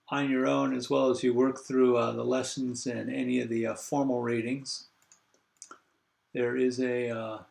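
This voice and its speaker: An older man lecturing a university class over a video call, congested, halting and full of fillers as he thinks aloud. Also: medium pitch, vocal fry, reverberant.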